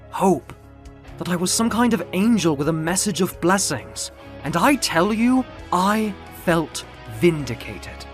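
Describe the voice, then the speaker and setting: A man's voice: a little high, pitched above the performer's own voice. A man playing a proud, indignant winged muse who speaks in a theatrical, grandiose, declamatory way for an audio comic book. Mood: impassioned.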